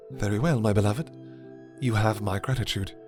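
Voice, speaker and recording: A man's voice: lowish voice, moderate pace, a little mellow, faint music behind, close to an everyday voice. A man playing a commanding vampire lord who is tender toward his guest. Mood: regal. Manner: regal, imperious.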